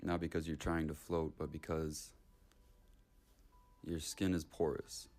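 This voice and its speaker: A man leading a guided meditation in short, unhurried, soothing phrases with long gaps between them. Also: extremely low pitch, completely flat delivery, darkish tone, soft background music.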